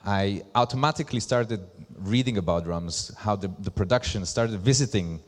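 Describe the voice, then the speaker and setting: Male presenter, Slovak accent, lower register, deliberate emphatic phrasing, live stage talk with long hall reverb. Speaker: presenter, brand ambassador. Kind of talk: conference presentation. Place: large hall at a live event.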